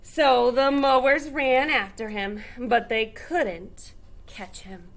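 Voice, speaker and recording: A woman's voice: fairly high pitch, fairly even intonation, slow pace. A woman reading a children's story aloud expressively, with emphatic stretched phrases and little explanatory asides. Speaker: storybook reader.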